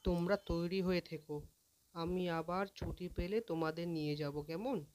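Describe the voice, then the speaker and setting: Polite young storybook singer , acted out, mid-pitched. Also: fairly even intonation, normal speed, a little mellow, natural voice. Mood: reassuring. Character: confident, deferential young male village singer. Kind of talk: audio story character acting.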